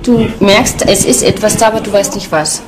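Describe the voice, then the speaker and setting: A woman's voice: medium pitch, steady tone, normal speed, music in the background. A young woman giving a spontaneous interview account as a self-described psychic. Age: young adult.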